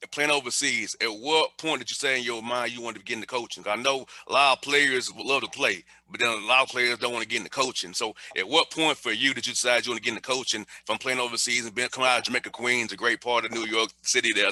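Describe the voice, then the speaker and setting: Male sports show host, mid-pitched, very bright and punchy, casual and chummy with long-winded questions. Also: fairly even intonation, fast talker.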